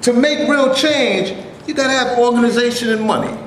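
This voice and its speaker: A man playing an earnest, determined actor and would-be organizer in an animated staged play reading. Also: very high-pitched, moderate pace. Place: indoor room, live staged reading. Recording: echoey room, faint noise.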